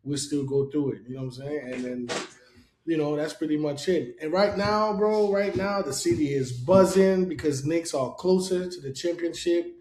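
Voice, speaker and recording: A man's voice: a little high, normal speed, darkish tone, slight background noise. A man from Haiti hosting a casual podcast chat, loose and rambling with lots of fillers. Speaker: podcast host. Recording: roomy echo.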